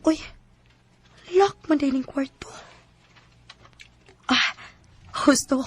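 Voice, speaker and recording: High-voiced young Cebuano sweetheart. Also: female voice, drawn-out words, a little hiss or hum. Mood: musing, puzzled. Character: young woman in love, hurt and proud when betrayed. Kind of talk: Filipino radio drama acting.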